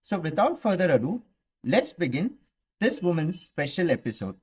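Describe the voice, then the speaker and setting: A man with an Indian English accent reading a scripted podcast introduction in a measured, formal way. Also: on the high side, big pitch swings, darkish tone. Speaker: podcast co-host narrating intro. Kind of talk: scripted narration.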